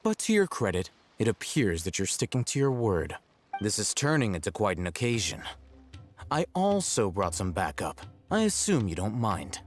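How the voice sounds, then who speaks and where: A man voicing a cool, aloof, rational young scholar in a video game, speaking in a measured, formal, articulate way. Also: on the low side, sing-song. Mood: calm, detached. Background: background music.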